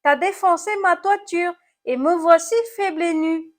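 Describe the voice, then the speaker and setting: Woman as an indignant, high-voiced little snail. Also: measured pace. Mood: indignant, wailing. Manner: indignant, moaning. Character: small horned snail, indignant, moaning, feeble victim.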